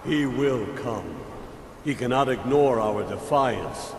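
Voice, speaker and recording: A man playing a grave, resolute old Nord sage in a video game, with measured, declamatory, archaic phrasing. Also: mid-range voice, gravelly. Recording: echoey reverberant hall, loud background noise.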